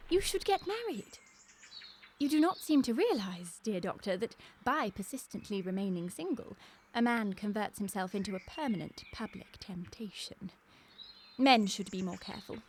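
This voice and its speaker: An actress playing a prim, moralising middle-aged English governess in a radio comedy, arch and precise, with a coy streak. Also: female voice, medium pitch, slight background noise, lightly acted. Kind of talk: period stage comedy radio acting.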